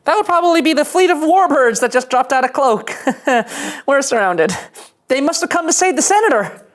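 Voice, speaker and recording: A woman's voice: sits high, expressive, normal speed. A woman playing a practical, wry young starship crew member in a sci-fi cartoon table read, matter-of-fact and slightly awkward. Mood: alarmed.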